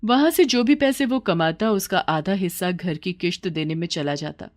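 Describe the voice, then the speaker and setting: A woman's voice: on the low side, normal speed. A woman narrating a scripted children's story as the storyteller.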